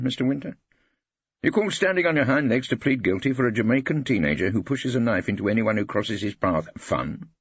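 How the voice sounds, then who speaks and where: A man's voice: medium pitch, breathy edge, dry recording, dull audio, voice pushed up. A man voicing an irreverent, ageing English barrister in an audiobook, pompous, ironic and mock-incredulous.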